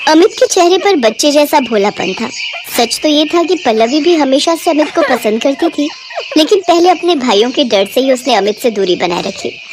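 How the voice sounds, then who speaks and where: Woman storyteller, pretty high voice, scripted narration, noticeable noise behind her. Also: lively intonation, moderate pace.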